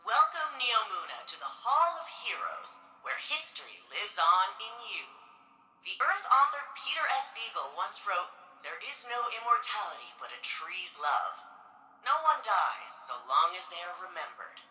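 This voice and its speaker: An actress playing an eloquent city archivist in a sci-fi game, narrating a museum tour in a stately, polished, reverent manner. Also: female voice, medium pitch, sing-song, normal speed, sharp tone. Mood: bright, welcoming. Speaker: recorded museum guide. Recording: roomy echo with music behind.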